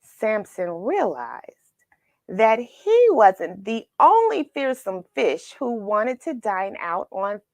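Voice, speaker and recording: A woman reading a children's story aloud, expressive and dramatic, with pauses for effect. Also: mid-range voice, dry recording, clean studio sound. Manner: expressive, dramatic pauses, asks the listener questions. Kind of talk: animated read-aloud storytelling.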